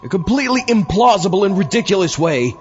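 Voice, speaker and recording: A theatrical male horror podcast host performing comic skits. His voice is high and swoops around, slightly dull in sound, with loud music behind it. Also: sing-song, quick pace. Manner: theatrical, hammy.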